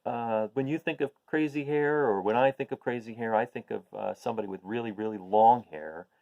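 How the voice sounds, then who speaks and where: A man reading a rhyming picture book aloud to young listeners in an expressive way. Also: mid-range voice, a little fast, vocal fry, highs cut off. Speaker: children's story reader.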